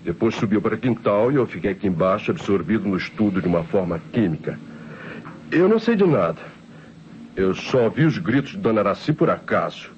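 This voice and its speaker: An actor playing a nervous, defensive laboratory assistant with a Brazilian accent in an old radio drama. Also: male voice, lowish voice, big pitch swings, fairly quick, darkish tone. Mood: uneasy explaining. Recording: dull, noisy old radio recording.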